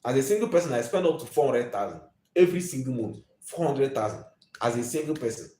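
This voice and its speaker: A young Nigerian man delivering an emphatic, preachy rant straight to his live audience. He hammers each point home by repeating it and speaks at a middling pitch. The room around him adds a clear echo. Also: expressive, fast, mellow. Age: 20s. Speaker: live video host. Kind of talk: ranting live monologue.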